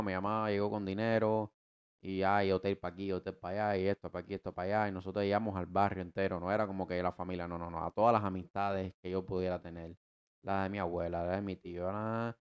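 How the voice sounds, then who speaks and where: Deep-voiced young man with Cuban Spanish accent. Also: clear, resonant tone, muffled recording. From United States. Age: 20s. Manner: rambling, many restarts and repeated words; slangy and relaxed. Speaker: podcast co-host. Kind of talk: casual conversation about his life.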